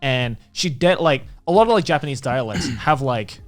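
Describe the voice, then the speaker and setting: A young man co-hosts a casual podcast, telling stories in an animated way and swearing freely. His voice sits at an ordinary middle pitch. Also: normal speed, no room sound, soft background music. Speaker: podcast co-host.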